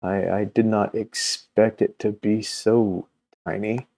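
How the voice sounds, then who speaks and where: Slow, halting middle-aged man, low and mellow. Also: level tone, creaky. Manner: halting, meandering delivery with long hesitations. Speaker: host of a comics recommendation video channel. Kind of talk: unscripted casual vlog talk to viewers.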